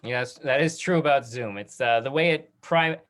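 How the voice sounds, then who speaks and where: A man's voice: mid-range voice, moderate pace, fairly bright tone. A man hosting a casual interview on a video call, affirming and summing up what he hears. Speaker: host of a talk back discussion.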